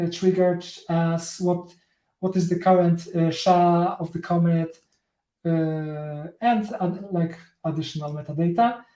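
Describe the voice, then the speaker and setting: Polish-accented male tech presenter, slow and halting, filler-heavy delivery, mellow voice, roomy echo. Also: sits high. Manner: halting, many fillers and restarts. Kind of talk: live coding talk.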